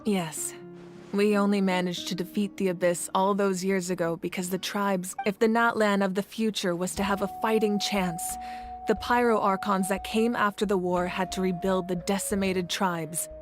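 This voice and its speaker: A woman plays a solemn female ruler from a fantasy game. She speaks at a middle pitch with measured authority and grave composure, with faint music underneath. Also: steady tone, natural voice. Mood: resolute. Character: composed, commanding female Pyro Archon, solemn leader of a nation. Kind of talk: dramatic game voice acting. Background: background music.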